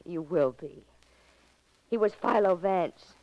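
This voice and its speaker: Defiant captive heroine, highly animated. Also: female voice, slow pace, dry recording, lo-fi sound. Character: defiant captive young woman, frightened but stubborn. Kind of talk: 1940s radio drama acting.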